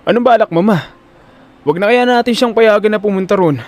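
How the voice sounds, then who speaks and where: A man's voice: high voice, fairly quick, dry recording, faint music behind, voice pushed up, close to an everyday voice. A man with a Filipino accent voicing an anxious, scheming young son in an audio drama. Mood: uneasy.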